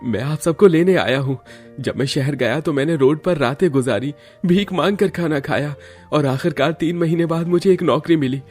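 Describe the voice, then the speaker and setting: A man's voice: on the high side, moderate pace, a little mellow, soft background music, natural voice. A man playing a humble, polite working man in a cartoon family story, speaking earnestly. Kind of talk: Hindi cartoon story acting.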